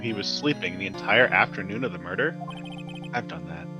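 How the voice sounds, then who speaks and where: A man's voice: lowish voice, animated, brisk. A man streaming casually, bantering and reading game dialogue aloud. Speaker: streamer voicing game characters. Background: music.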